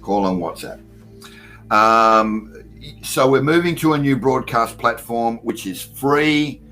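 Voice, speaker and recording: A man's voice: medium pitch, slow, sharp tone, soft background music. A man hosting an online call-in radio show, talking loosely with many fillers and greeting listeners by name. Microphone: computer mic at a desktop.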